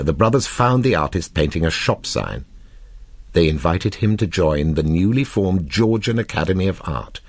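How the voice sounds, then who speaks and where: Deep-voiced man reading literary fiction. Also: husky, no echo. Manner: measured, expressive storytelling with deliberate pauses. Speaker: narrator reading a short story. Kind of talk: literary scripted narration.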